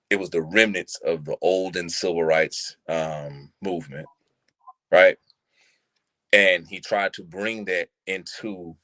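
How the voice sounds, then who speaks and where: A man around thirty talking casually on a live-streamed show, animated, using street slang and checking in with listeners often. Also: sits low, dry recording. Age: 30s. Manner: animated, street slang, repeats "you see what I'm saying". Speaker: podcast co-host. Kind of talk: casual chat. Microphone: live stream mic.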